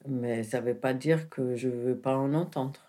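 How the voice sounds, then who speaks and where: A woman's voice: a bass voice, unhurried, mellow. A woman whose first language is not French, speaking in an intimate conversation and searching for her words with frequent restarts. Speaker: interviewee, the filmmaker's mother.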